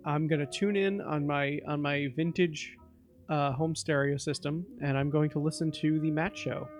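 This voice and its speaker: A man co-hosting a comedy podcast, playing up melodramatic despair with deadpan exasperation. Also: on the high side, moderate pace. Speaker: podcast co-host. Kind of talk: comedic improvised banter. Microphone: studio microphone and headphones.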